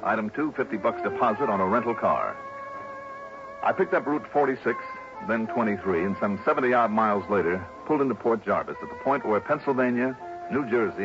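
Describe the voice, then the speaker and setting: A man's voice: lowish voice, lively intonation, fairly quick. An American actor playing a wry, breezy male insurance investigator in old radio drama style. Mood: measured, wry. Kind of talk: 1950s-60s American radio drama acting and narration. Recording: dull old radio recording with music.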